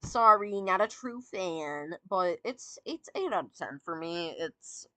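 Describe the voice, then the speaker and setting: A woman giggling and rambling as she ranks songs, her voice slightly raspy and sore from talking. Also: on the low side, animated, slow pace, a bit bright, no room sound. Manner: giggly, rambling, many fillers and restarts. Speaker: VTuber streamer. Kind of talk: casual fan commentary, ranking songs.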